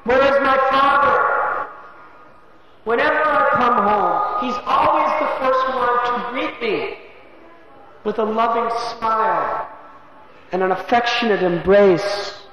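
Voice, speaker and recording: A man's voice: extremely high pitch, slow pace, pauses often, pushed and bright, voice pushed up, exaggerated voice. A man doing an anguished young prince. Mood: pleading. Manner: raised, anguished, urgent questions. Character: young male prince, grief-stricken and pleading. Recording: echoey, dull lo-fi room recording.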